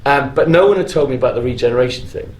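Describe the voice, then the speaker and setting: A middle-aged man chatting casually as a panel guest, halting and often restarting his sentences in an informal way. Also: mid-range voice, animated. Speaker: guest actor on a fan convention panel. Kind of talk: casual live panel chat. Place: convention stage in a hall. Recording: echoey live stage recording, faint noise.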